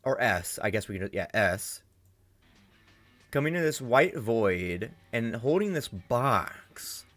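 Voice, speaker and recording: Excitable, swearing man reacting to an anime. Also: mid-range voice, takes it slowly, faint music behind. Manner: excitable, profane, thinks aloud with many restarts. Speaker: reaction video host. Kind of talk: casual reaction commentary.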